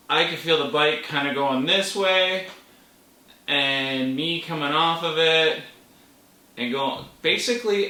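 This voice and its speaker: A person telling a story in a casual vlog manner, animated, with playful exclamations. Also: medium pitch. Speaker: vlogger. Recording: roomy, distant mic with faint noise.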